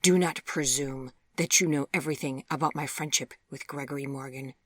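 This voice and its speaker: A woman's voice: extremely low pitch, brisk, no echo. A woman voicing a stubborn, defiant young lady in a storybook, with formal, haughty phrasing. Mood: cold, defiant.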